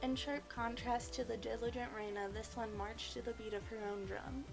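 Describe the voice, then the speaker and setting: A woman narrates a visual novel in an expressive storytelling style. The voice is on the high side, and game music plays clearly underneath. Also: moderate pace.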